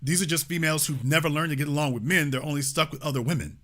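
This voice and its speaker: Bright-voiced lecturing male host. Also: a little high, rapid-fire. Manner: emphatic, lecturing. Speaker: call-in show host. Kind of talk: talk radio commentary.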